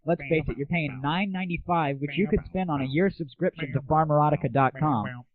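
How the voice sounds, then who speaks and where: A man's voice: a little high, a little fast. A man hosting an internet audio show, delivering a crude, sarcastic and casual comic rant. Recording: narrow, tinny lo-fi internet audio.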